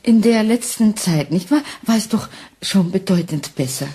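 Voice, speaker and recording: An actress playing a timid, submissive Victorian wife in a German radio play, hesitant and pleading. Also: female voice, mid-range voice, expressive, moderate pace, natural voice. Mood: hopeful, eager. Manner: hesitant, pleading, often hushed.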